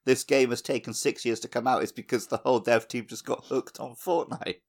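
A man's voice: medium pitch, fairly even intonation, brisk. A man in his thirties co-hosting a gaming podcast, chatting casually and jokingly, often acting out bits of dialogue. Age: 30s. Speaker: podcast co-host.

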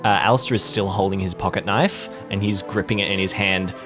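A man's voice: low voice, fairly even intonation, fairly quick, over music. A man playing a tabletop roleplaying game, speaking casually as he improvises his character's actions.

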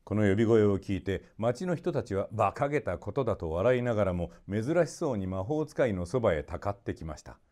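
A man narrating a children's story expressively, in a polite and measured storytelling manner. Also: on the low side, lively intonation, a little mellow.

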